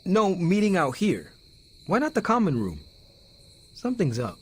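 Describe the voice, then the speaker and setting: Young male superhero, friendly, casual, highish voice, swinging intonation, game cutscene. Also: huge pitch swings, normal speed, slightly rough, exaggerated voice. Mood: friendly, curious. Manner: casual, conversational. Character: young street-raised superhero, spirit of vengeance, friendly, casual. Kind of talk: video game cutscene acting.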